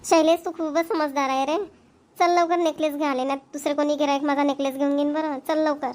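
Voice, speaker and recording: A woman's voice: high-pitched, little pitch movement, fairly quick, darkish tone, close to an everyday voice. A woman voicing an eager young wife in a cartoon, coaxing and pleading with her husband. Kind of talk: cartoon voice acting.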